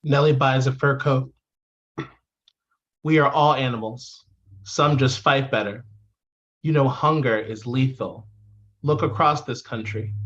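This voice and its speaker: Male reader for poetry, medium-pitched and measured, on a somewhat dull lo-fi recording. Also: fairly bright tone. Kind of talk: poetry reading. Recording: dull, lo-fi audio.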